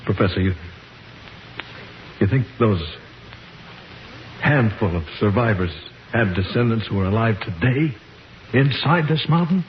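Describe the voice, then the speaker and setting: A man's voice: lowish voice, measured pace, long pauses, lightly acted. An actor playing an anxious, incredulous man in a 1950s radio thriller, with a tense, theatrical delivery. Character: anxious, incredulous man trapped in caverns, recounting his ordeal. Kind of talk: 1950s radio drama acting and narration. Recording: noisy, dull 1950s radio recording.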